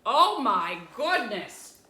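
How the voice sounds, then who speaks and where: A woman voicing a dignified, formal older gentleman who speaks slowly and grandly. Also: high-pitched, lively intonation, voice pushed up, heavily acted. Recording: roomy echo.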